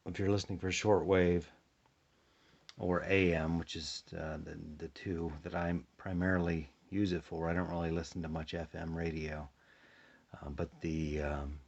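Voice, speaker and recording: An American man chatting casually through a hands-on demonstration, low-key and unhurried, thinking aloud and sounding a little sleepy. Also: a little mellow, husky. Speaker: hobbyist demonstrating a shortwave radio. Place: indoors at a tabletop, close to the camera. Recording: dull, muffled home recording.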